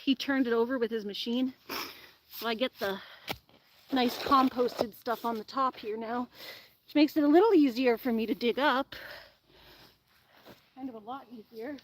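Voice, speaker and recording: A woman's voice: on the high side, normal speed, pauses often, a little hiss or hum, rough recording. A woman who sounds sniffly from allergies, chatting in a rambling way to a live audience while she shovels compost outdoors. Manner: rambling, says "like" often. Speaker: animal channel host. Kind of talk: casual chat to a live audience while gardening. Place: outdoors in a farmyard garden.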